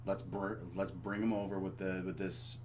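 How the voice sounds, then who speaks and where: A man co-hosting a casual chat, speaking in hesitant, halting phrases. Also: low-pitched, flat delivery. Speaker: podcast co-host. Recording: faint hum and noise.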